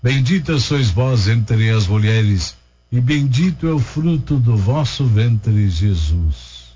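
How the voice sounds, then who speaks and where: A man's voice: medium pitch, slow, slight background noise. A Brazilian man hosting a radio prayer show, steadily reading out listeners' names and towns and leading the prayers. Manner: steady, rhythmic listing of names and places. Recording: dull lo-fi radio broadcast.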